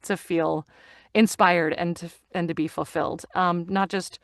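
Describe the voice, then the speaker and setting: A middle-aged woman, a voice actor and audiobook narrator, chatting in an interview with lively enthusiasm and many restarts. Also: fairly low pitch, a little slow, gravelly, no echo, clean studio sound. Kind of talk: casual interview chat. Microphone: studio microphone.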